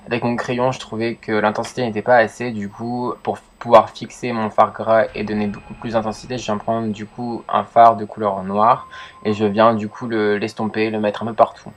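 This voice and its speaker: Young man with a cold, low voice. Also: slight background noise. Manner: hesitant, many fillers. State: congested with a cold. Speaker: makeup vlogger. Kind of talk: casual makeup tutorial.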